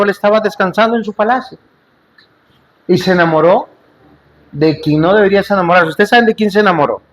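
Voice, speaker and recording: A man with a fairly high voice preaching a sermon in a church. He drives each point home with repeated phrases and rhetorical questions to the congregation. He slips in small jokes and asides between them. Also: a little hiss or hum, low-quality recording. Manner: emphatic, repetitive rhetorical preaching with questions to listeners.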